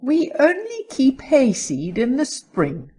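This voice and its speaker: A woman playing a brisk, ingratiating, slightly lofty shop saleswoman in an audiobook drama, polite and cheerful. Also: high-pitched, wildly swooping pitch, mellow, breathy edge, full character voice.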